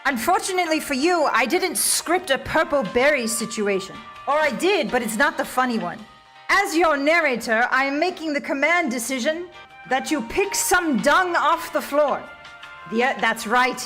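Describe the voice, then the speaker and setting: Female narrator for comic fantasy game: arch, theatrical, very expressive pitch, soft music behind. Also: normal speed. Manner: wry, arch, mock-grand storyteller. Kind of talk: theatrical comic visual-novel narration, second person.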